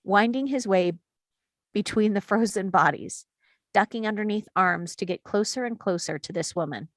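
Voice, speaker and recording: Woman, screenplay directions, steady descriptive reading, mid pitch, dry sound. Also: moderate pace, no echo. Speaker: reader of stage directions. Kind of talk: reading screenplay action lines.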